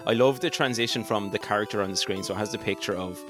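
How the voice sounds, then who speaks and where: A man with a deep, low voice talks casually and keenly as a podcast co-host. Guitar music is clearly audible behind his chat. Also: fast talker.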